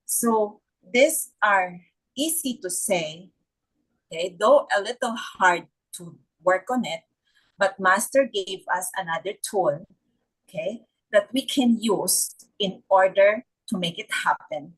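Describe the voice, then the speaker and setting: Woman from the Philippines, slow, husky voice, hesitant speech with tag "no?", video call. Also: mid-range voice, lively intonation, poor audio. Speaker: participant sharing reflections. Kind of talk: casual spoken reflection.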